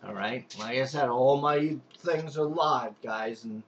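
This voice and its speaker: A man's voice: mid-range voice, unhurried, muffled recording, slight background noise. A man with a strong Long Island accent, a pool and spa technician giving an unscripted, rambling how-to demonstration with many restarts and fillers. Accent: Long Island New York.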